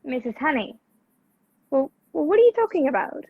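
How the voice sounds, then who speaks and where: A woman plays a spirited young radio-play heroine, quick to object. The voice is very high and swings widely, through dull video-call audio. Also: sing-song. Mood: teasing, incredulous. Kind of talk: amateur radio play acting.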